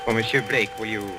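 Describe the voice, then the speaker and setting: A man's voice: lowish voice, natural voice. A man acting in an old-time radio mystery as a courteous, faintly mocking medium, speaking. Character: suave, theatrical Frenchman, a séance medium under suspicion. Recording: noisy old radio recording.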